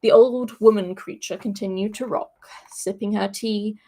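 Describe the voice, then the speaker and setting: A woman's voice: medium pitch, steady tone, normal speed, mellow. A woman podcast host reading a story aloud in a chatty manner. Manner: chatty, laughs between passages.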